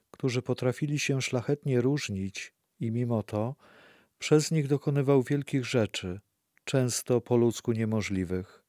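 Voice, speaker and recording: A man's voice: medium pitch, dark tone, no echo, studio quality. A man giving a solemn scripted narration for an audio play.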